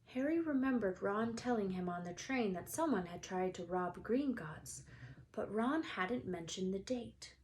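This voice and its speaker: A woman's voice: medium pitch, lively intonation, a little slow, a little mellow. Woman reading a children's story aloud. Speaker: storybook reader.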